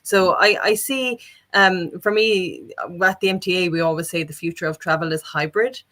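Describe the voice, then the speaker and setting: A woman gives her expert view in a panel discussion. Her voice is strikingly bright and forceful. She speaks unscripted, working through her points in an earnest, explanatory manner. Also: lowish voice, moderate pace.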